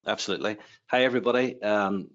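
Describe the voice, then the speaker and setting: An Irish man speaks as a lecturer on a webinar panel, laying out technical work in an explanatory style. His voice is on the low side and the audio is somewhat dull. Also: fast. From Ireland. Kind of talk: explanatory presentation. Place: online webinar.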